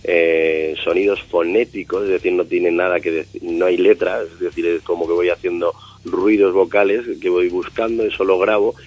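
A male singer answering interview questions in a relaxed, rambling way, thinking aloud with fillers. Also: low voice, moderate pace, dry recording, dull audio. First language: Spanish. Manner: thoughtful, rambling with fillers and restarts. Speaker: singer of a band, interview guest.

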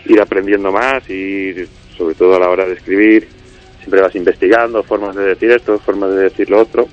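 Low-voiced man musician, easygoing radio guest who rambles and restarts, slightly muffled sound with faint music under it. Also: little pitch movement, fairly quick. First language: Spanish. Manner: rambling, many fillers and restarts. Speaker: musician guest, singer and songwriter of a band. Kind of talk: casual radio interview.

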